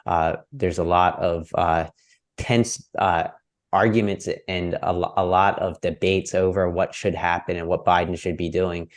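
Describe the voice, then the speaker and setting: A man's voice: low voice, fairly even intonation, a little slow. A man hosting a casual interview podcast, chatty and joking, often stumbling over his first words. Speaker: podcast host.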